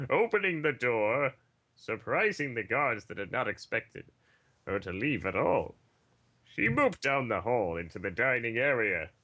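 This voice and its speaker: A man reads a story aloud for a casual group podcast. His voice is bright and forceful, with lively movement in pitch.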